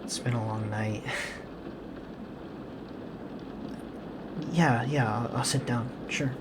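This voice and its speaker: A man playing a gentle, guilt-ridden serial killer boyfriend and father in an intimate ASMR roleplay, speaking softly and hesitantly, with apologies. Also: mid-range voice, fairly even intonation, background noise, close to an everyday voice. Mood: weary, grateful. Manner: hesitant, halting, apologetic, soft-spoken.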